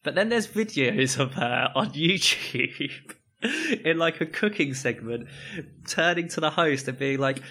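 A man's voice: expressive, a little slow, sharp tone. A young man chatting casually as a podcast co-host, telling rambling anecdotes and laughing often. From England. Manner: rambling storyteller, laughs often, mimics people in higher voices. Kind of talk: casual chat.

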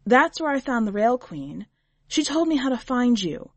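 Anxious young wife, read by a woman, earnest, breathless, higher. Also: sing-song, normal speed, dry recording. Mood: eager.

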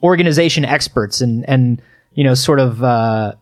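A man co-hosts a casual podcast in a mid-pitched voice. He explains things in a stop-and-start way, doubling back on his phrases as he tells a story. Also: normal speed. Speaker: podcast co-host.

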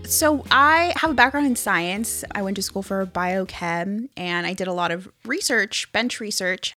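A woman's voice: mid-range voice, animated, unhurried, sharp tone, faint music behind. A woman talking conversationally in an interview as an author. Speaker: first-time children's book author being interviewed.

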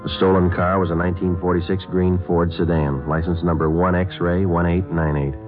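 An actor playing a terse, matter-of-fact American police detective in a clipped procedural radio drama. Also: male voice, deep voice, normal speed, natural voice. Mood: deadpan. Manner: deadpan, matter-of-fact, polite. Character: terse, businesslike male police detective narrating his case. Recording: narrow, lo-fi vintage radio, music behind.

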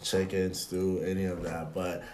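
A man's voice: sits low, unhurried, roomy sound, a little hiss or hum. A man in his twenties from Benin, whose first language is French, talking casually as a podcast guest and telling stories with plenty of fillers. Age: 20s. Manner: relaxed storytelling with frequent fillers.

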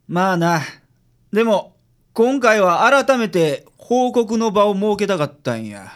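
A man with a Kansai accent acting a confident, frank private detective in a radio drama, explaining things in a measured way. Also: high voice, very animated, smooth, full character voice. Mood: confident, blunt. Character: confident, frank male private detective reporting calmly to a client. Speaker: amateur radio drama actor.

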